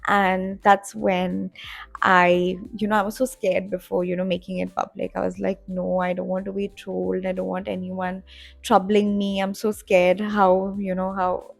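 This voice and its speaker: Chatty young woman with a gravelly edge. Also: medium pitch, flat delivery, normal speed, no echo, soft background music. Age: 20s. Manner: chatty, rambling with many fillers and restarts. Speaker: content creator. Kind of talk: casual vlog talk to camera. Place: home in Dubai.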